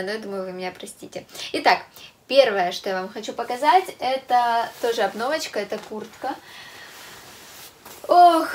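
A young woman vlogging casually to camera in a small room, with a stuffy nose from a cold, talking chattily with many hesitation sounds. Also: fairly high pitch. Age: young adult. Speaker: fashion vlogger. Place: small room with a clothes rack, indoors.

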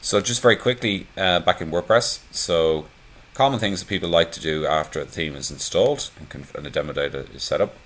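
Male tutorial presenter, extremely low voice, laid-back conversational delivery, voice-over screencast. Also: fairly quick, few pauses. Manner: relaxed, conversational, many fillers. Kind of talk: screencast walkthrough.

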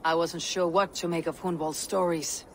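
A battle-hardened Viking warrior woman from a video game, acted by a woman. She speaks with solemn, measured authority and a husky edge, as if reciting verse. Faint noise sits behind her. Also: fairly low pitch, flat delivery, lightly acted. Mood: composed. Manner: measured, declamatory, grave. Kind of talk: dramatic video game acting.

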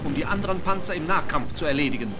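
A man's voice: on the high side, lively intonation, quick pace. A man narrating a wartime 1940s newsreel from a script in a formal, declarative announcer style, on an archival soundtrack. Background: loud music.

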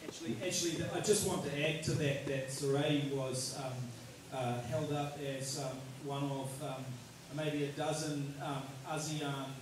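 A man speaking on a panel at an indoor event, halting with many ums, into a handheld mic. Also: medium pitch, noisy background. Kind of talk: informal Q&A remarks. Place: large indoor event hall. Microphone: handheld mic, far camera. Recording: echoey hall, distant with crowd.

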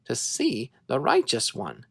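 A narrator reading scripture to children as a dramatic story, in an expressive, measured way. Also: medium pitch, creaky, husky, no room sound.

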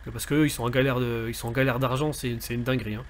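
A man's voice: medium pitch, steady tone, fast talker, slight background noise. A man roleplaying a gang leader in casual talk, loose and full of fillers, sprinkling in Spanish words. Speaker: roleplayer as cartel boss.

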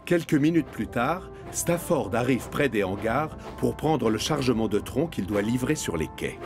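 A man narrates a children's cartoon story in a medium-pitched voice. The delivery is scripted storytelling, with music clearly playing underneath. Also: animated, normal speed.

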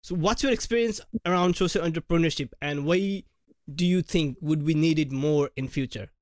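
A man's voice: on the high side, moderate pace, dry recording. A young man hosting a podcast from a home studio, thoughtful and searching for words with "like" and "right?". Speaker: podcast host. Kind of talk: podcast interview. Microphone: large studio mic with headphones.

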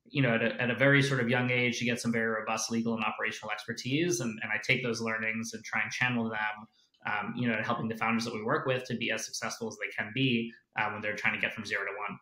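A man's voice: fairly low pitch, fast. A man in his thirties with an American accent, originally from South Africa, talking casually as a guest over a video call from his home office, with frequent fillers. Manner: relaxed, many "uh" and "you know" fillers. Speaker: venture capital investor guest. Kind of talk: casual interview. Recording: echoey room on a video call.

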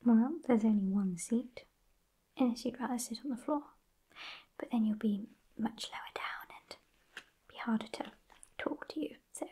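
A woman in her twenties with a British accent playing a sassy, haughty witch in a breathy, unhurried ASMR whisper, close to the microphone. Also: on the high side, warm tone. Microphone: close microphone just off camera.

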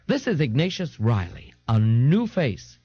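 A man's voice: medium pitch, very animated, unhurried, breathy edge, dry recording, muffled recording. A man voicing an elegant, fussy male party host with a fretful, theatrical manner in an audiobook. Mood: introducing.

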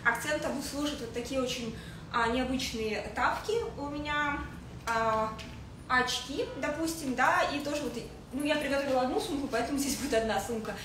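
A woman in her thirties giving a casual livestream style lesson as a stylist, speaking haltingly with searching pauses. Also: sits high. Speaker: fashion stylist hosting a livestream. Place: indoors in a home living room with a desk. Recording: echoey room with faint noise.

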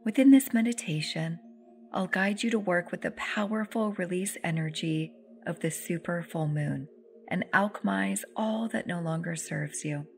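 A woman with a fairly low voice guides a meditation softly and soothingly. She takes her time, leaving pauses and letting phrases trail into breathiness. Singing bowl music plays clearly behind her. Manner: soothing, unhurried, with long pauses. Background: meditative music with singing bowls.

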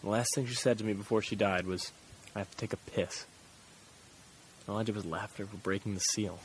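A man's voice: fairly low pitch, steady tone, brisk, natural voice, poor audio. A man playing an earnest, easily rattled teenage boy in an audio drama, speaking in a casual, slangy way. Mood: recounting. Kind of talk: audio drama acting and first-person recounting. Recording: faint background noise.

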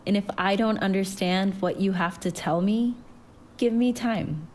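A loving storybook mother, played by a woman narrator, tender and reassuring. She takes her time over each phrase and encourages softly. There is faint noise in the recording. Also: mid-range voice, a little slow, natural voice. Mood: tender, encouraging. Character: gentle, loving, reassuring mother of a growing girl.

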